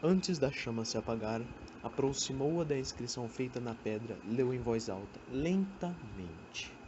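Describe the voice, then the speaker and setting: A woman's voice: very deep voice, expressive, a little slow. Woman narrating, slightly muffled recording. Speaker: narrator of a student radio drama. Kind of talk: scripted dramatic story narration. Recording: dull amateur recording with faint noise.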